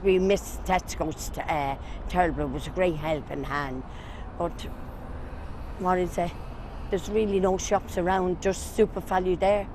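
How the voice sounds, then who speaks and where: A woman's voice: lowish voice, fairly even intonation, a little slow, noisy background. A woman speaking plainly in a street interview outdoors.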